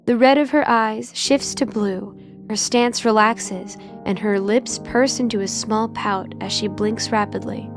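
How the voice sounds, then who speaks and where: A woman's voice: on the high side, fairly even intonation, unhurried, music in the background. A woman reading scripted, dramatic story narration for an audio drama.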